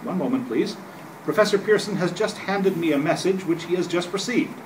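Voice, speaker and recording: An older man acts out an earnest 1930s radio-play commentator reporting live in a formal way. His voice sits high for a man. He is picked up from a distance in a noisy room. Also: animated, fairly quick. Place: hotel meeting room at a convention panel. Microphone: camera across the table. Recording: distant camera mic, clearly noisy room.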